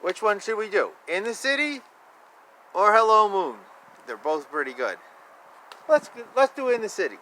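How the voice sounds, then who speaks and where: A man reading children's picture books aloud in a playful, expressive way, with casual asides and repeated phrases. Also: high voice, normal speed, pauses often. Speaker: children's book reader. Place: outdoors. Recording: noisy outdoor ambience. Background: outdoor ambience, animal and vehicle sounds.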